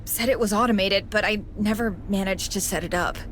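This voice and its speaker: A woman's voice: mid-range voice, brisk, fairly bright tone, natural voice. A woman playing an unassuming veterinarian survivor in a game, frank, hesitant and self-deprecating. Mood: uneasy, frank. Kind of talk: video game cutscene acting. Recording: game audio with faint background noise.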